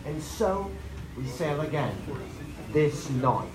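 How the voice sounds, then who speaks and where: An actor playing a doomed, menacing ghost of a sea captain in live old-time radio horror style, speaking portentously with dramatic pauses. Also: male voice, a little high, sing-song. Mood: grim, haunted. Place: on stage in a theater. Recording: echoey live theater, loud music behind.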